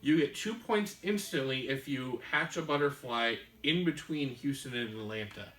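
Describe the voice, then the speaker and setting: A man's voice: mid-range voice, moderate pace, a bit bright. Man patiently explaining game rules. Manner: patient, talks through rules step by step with many ums. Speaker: board game show host. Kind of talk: explaining board game rules while playing. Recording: roomy room, mic at a distance, faint hiss.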